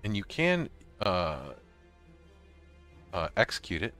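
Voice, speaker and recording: Male tutorial host, very low pitch with a crisp, bright edge, informal step-by-step delivery. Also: sing-song, slow, faint music behind. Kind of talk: casual screen-recorded walkthrough.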